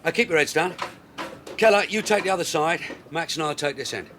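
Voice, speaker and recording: An actor playing a shrewd veteran police sergeant, dry, weary and matter-of-fact, in a radio drama. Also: male voice, fairly high pitch, expressive, brisk, background noise, lightly acted. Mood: brisk, grumbling. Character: shrewd veteran male detective sergeant, wry and grumbling.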